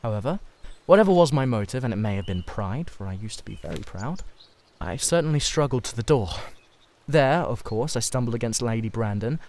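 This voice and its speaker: A man voicing an anxious, earnest Victorian gentleman painter who confides dramatically in a literary reading. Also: medium pitch, animated, a little fast, natural voice. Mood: earnest reflection.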